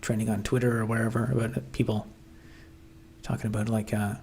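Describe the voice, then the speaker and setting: A man's voice: fairly low pitch, level tone, a little fast, darkish tone, a little hiss or hum. A man hosting a casual livestream, reading chat and musing aloud with lots of fillers and restarts. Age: adult, grew up watching 1990s TV.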